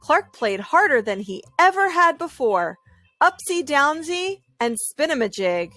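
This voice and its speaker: A woman reading a children's story aloud in an expressive, deliberate storytelling style. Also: sits high, no room sound, soft background music. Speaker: storybook reader.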